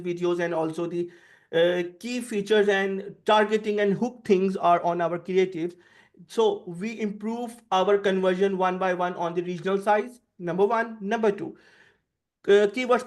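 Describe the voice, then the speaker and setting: A man who speaks English as a second language explains points on a panel, rephrasing himself often in a halting, repetitive way. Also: high voice. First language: not English. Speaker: panelist, app marketing specialist.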